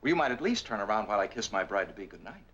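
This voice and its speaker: An actor playing an eager, protective young American bridegroom in brisk, affable 1940s film style. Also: male voice, fairly low pitch, very animated, a bit bright, slightly rough, exaggerated voice. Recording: muffled, noisy old film soundtrack.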